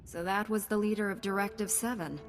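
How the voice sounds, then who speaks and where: A medium-pitched, earnest young female knight , played by an actress in a video game. She sounds composed and principled, with music clearly playing behind her. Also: fairly even intonation, brisk, lightly acted. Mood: firm. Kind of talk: video game cutscene acting.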